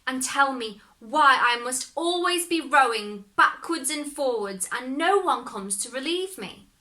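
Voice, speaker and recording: Woman as a plaintive, high-voiced ferryman. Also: lively intonation, measured pace, sharp tone, heavily acted. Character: weary, complaining male ferryman asking a favour.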